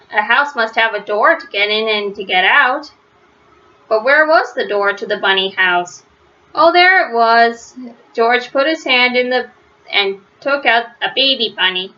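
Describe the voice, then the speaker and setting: Female children's read-aloud narrator, bright and crisp-edged, deliberate storybook phrasing, slightly muffled lo-fi recording. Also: fairly high pitch. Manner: simple, deliberate phrasing with stressed words for young listeners. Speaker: storybook reader.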